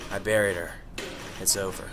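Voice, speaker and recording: A man's voice: low-pitched, little pitch movement, moderate pace, fairly bright tone, slight background noise. Man acting a dry, cynical, world-weary detective. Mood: dry, guarded. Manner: dry, sardonic. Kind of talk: amateur radio drama acting and first-person narration.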